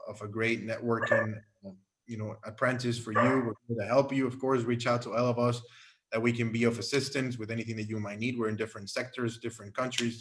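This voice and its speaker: A man chairing an online panel gives halting, encouraging closing remarks with fillers on a video call. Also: medium pitch, a little hiss or hum.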